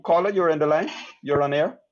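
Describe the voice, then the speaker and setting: A man's voice: fairly high pitch, brisk. A man with a Guyanese accent hosting a live call-in panel show in a firm, direct manner. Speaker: call-in talk show host. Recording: dull, lo-fi audio.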